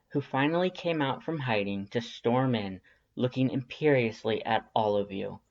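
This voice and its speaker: A man reading scripted second-person fiction as an audiobook narrator. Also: medium pitch. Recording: slightly dull lo-fi audio.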